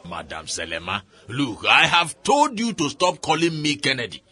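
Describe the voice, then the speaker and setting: A pompous, wildly swooping Nigerian chief. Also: male voice, mid-range voice, sing-song, normal speed, sharp tone. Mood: pompous, teasing. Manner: pompous, blustering. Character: self-important, evasive Nigerian male chief, blustering. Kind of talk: radio drama acting.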